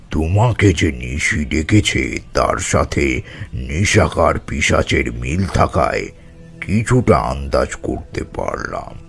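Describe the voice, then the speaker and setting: A man playing a grave older tantric in a horror audio drama, recounting occult tales with deliberate, dramatic weight and a gravelly voice. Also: very deep voice, heavily acted. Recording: music under the voice.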